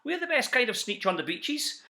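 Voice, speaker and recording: Man putting on a shrill, snooty boastful creature. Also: very animated, moderate pace, bright, forceful tone, exaggerated voice. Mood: haughty bragging. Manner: haughty, crowing. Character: snooty, boastful Sneech creatures, indignant and gloating.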